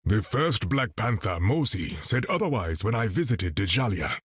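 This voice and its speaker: A proud African warrior king for a video game. His low voice has a husky edge and rises and falls expressively. His phrasing is formal and dignified. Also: big pitch swings, fairly bright tone, dry recording, clean studio sound, exaggerated voice. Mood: proud, solemn. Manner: formal, regal, measured phrasing. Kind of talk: video game character voice acting.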